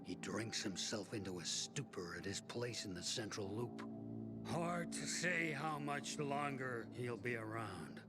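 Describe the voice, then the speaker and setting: A man's voice: mid-range voice, lively intonation, close to an everyday voice. A male actor playing a middle-aged, world-weary, resigned survivor in a video game, telling things in a bitter, brooding way. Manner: slow, brooding, bitter storytelling with pauses. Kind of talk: game cutscene acting. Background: music.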